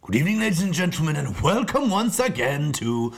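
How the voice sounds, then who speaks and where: A man's voice: fairly high pitch, very animated, fairly quick, vocal fry, full character voice. A man playing a bombastic, showy football show host, announcing theatrically in mock sports-news style.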